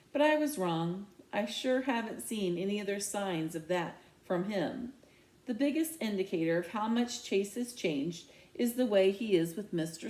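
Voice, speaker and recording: A woman reading a children's novel aloud in the first person, with expressive, emphatic storytelling. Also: mid-range voice. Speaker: read-aloud reader. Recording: echoey, roomy home recording.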